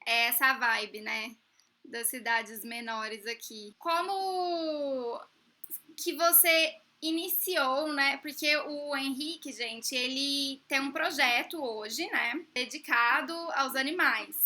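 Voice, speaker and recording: Young woman, high bright voice, chatty host. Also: steady tone, slow. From Brazil. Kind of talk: casual chat.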